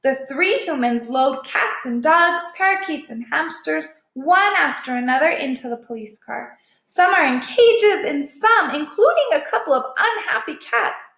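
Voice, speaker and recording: A woman's voice: sits high, fairly bright tone. A woman teacher reading a storybook aloud and adding simple explanatory asides. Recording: echoey room.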